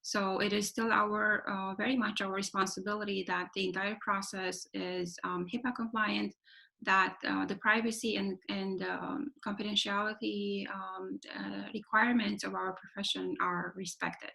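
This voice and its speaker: A woman talks through her practice on a webinar call. Her voice is bright and crisp with a gravelly fry at the ends of phrases. She keeps pausing on "um" and "uh" and tags her points with "right?". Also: mid-range voice, level tone, low-quality recording. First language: Romanian. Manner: explanatory, frequent "right?" tags and fillers. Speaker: clinical evaluator giving a webinar talk. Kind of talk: webinar presentation. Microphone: video call.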